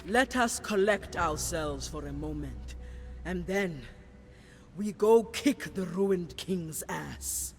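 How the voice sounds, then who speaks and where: An actress playing a solemn, devout serpent priestess in a game cutscene, speaking in a measured, ceremonial manner. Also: female voice, lowish voice, animated. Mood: resolute. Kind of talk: dramatic game cutscene acting. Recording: reverberant hall with faint music behind.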